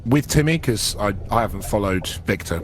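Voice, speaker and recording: A man's voice: fairly low pitch, animated, moderate pace. A man speaking casually as a player in a tabletop roleplaying game. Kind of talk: casual chat.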